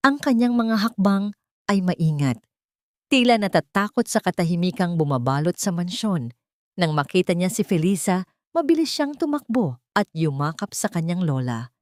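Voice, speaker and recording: Low-pitched Filipino woman narrating, dry recording. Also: no echo. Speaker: storyteller, narrator. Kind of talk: scripted dramatic audio story narration.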